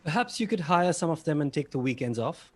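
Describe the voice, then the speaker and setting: A man's voice: fairly high pitch, sing-song, fairly quick. A man playing a dry, loyal, deferential British butler in a comic table read. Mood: wry, concerned. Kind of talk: online comedy table read.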